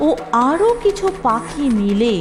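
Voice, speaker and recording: A woman's voice: fairly high pitch, big pitch swings, measured pace. A woman narrating a scripted cartoon story as a storyteller.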